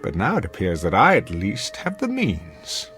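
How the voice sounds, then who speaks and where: An actor playing a suave, manipulative middle-aged husband, urbane and theatrical, in an old-time radio drama. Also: male voice, sits low, wildly swooping pitch, moderate pace, fairly bright tone, soft background music, heavily acted. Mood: scheming. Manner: pompous, theatrical, urbane, goading.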